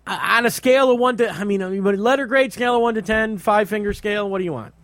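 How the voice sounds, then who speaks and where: A man's voice: sits high, quick pace, fairly bright tone, no echo, clean studio sound. A man co-hosting a podcast in casual, profane conversation, rambling and interrupting himself as he gives blunt opinions. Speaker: podcast co-host. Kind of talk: casual chat, reviewing a book.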